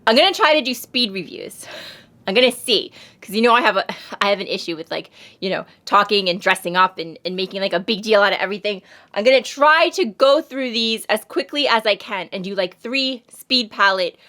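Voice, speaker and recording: A woman's voice: medium pitch, expressive, brisk, sharp tone. A woman with a Long Island accent, rambling and self-deprecating as she chats through makeup reviews, a little sniffly from allergies. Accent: Long Island New York. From United States. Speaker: beauty vlogger. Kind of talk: casual makeup review chat.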